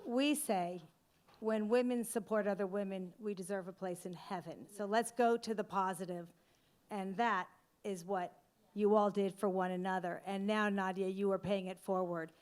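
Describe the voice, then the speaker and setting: A woman moderating a business panel, forceful and rallying, with punchy declarations. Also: mid-range voice, steady tone, moderate pace. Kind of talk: panel discussion.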